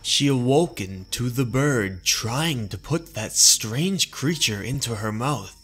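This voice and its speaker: A man's voice: mid-range voice, expressive, slow pace, fairly bright tone. A man delivering scripted narration of a horror story as narrator and host.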